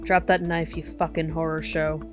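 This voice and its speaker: A woman's voice: low-pitched, level tone, moderate pace, over music, lightly acted. A woman playing a tough, wry, foul-mouthed police officer in an audio drama, dry and commanding.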